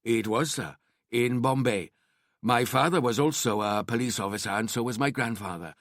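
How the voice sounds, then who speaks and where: A man's voice: medium pitch, normal speed, a bit bright, dry recording, natural voice. An elderly Indian shopkeeper, acted out by a male audiobook narrator, courteous and proud of himself. He talks at length in an Indian accent, eager to help, in a dry recording. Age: 60s. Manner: deferential, talkative, proud. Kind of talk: audiobook character acting.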